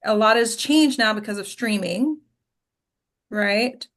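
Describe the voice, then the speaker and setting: A woman speaks as an acting coach in an informal class setting. Her delivery is direct and instructive, and she keeps checking that listeners follow with short tag questions. The pitch sits in a middle range for a woman. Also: takes it slowly, a bit bright. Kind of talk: casual teaching Q&A.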